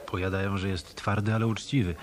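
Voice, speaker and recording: A man's voice: fairly low pitch, steady tone, fast, lightly acted. A male actor playing a tough, wry hotel detective in a hard-boiled Polish radio play. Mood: calm, wry.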